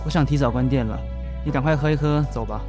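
A man's voice: medium pitch, fast, over music, natural voice. A man acting as a resigned, wounded bartender in a modern urban radio drama. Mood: curt, subdued. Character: resigned, wounded male bartender about to close up. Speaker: actor.

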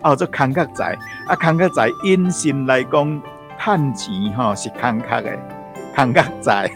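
A man's voice: mid-range voice, measured pace, music in the background. A Taiwanese-accented man, a local culture expert, explaining informally in a folksy, repetitive way. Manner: folksy, repetitive, with frequent particles.

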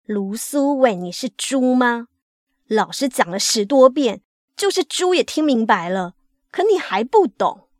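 A man's voice: very high voice, sing-song, unhurried, dry recording, exaggerated voice. A woman voicing a furious, exasperated schoolteacher scolding a pupil in a told story.

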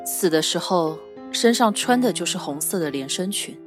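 A woman's voice: on the low side, expressive, normal speed, soft background music, lightly acted. Woman acting a secretive, commanding boss lady. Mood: businesslike, mysterious. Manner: curt, businesslike, authoritative. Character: secretive, commanding woman handing out shady commissions. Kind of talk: modern suspense radio drama acting.

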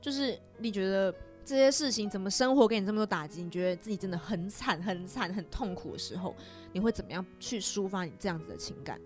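A woman with a Taiwanese accent speaks quickly as the host of a casual podcast. She acts out little inner remarks as she explains, and music plays behind her. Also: medium pitch, a little mellow. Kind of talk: casual chat.

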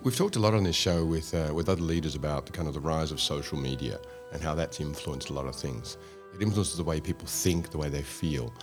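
Low-voiced chatty male host. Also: a little fast, over music. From Australia. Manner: chatty, storytelling. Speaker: interview host. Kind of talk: conversational interview.

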